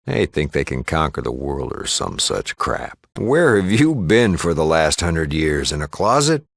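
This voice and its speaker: A small-town lawman and shopkeeper in a video game. His American voice is extremely deep, with a heavy gravelly creak, recorded close and dry. He talks plainly and gruffly, in a folksy, matter-of-fact way. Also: huge pitch swings, unhurried, dry recording, full character voice. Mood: wry, matter-of-fact. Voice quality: gravelly, low. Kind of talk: video game character dialogue.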